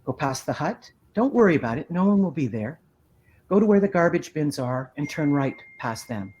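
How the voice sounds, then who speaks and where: A woman's voice: deep voice, very animated, normal speed, a little mellow, dull audio. Woman reading as an easygoing older park maintenance man. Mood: easygoing, helpful. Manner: plain, encouraging, instructive.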